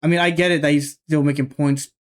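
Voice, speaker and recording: A man's voice: a little high, flat delivery, fast. A man in his twenties gives casual reaction commentary, thinking aloud and restarting his sentences. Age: 20s. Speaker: reaction video host.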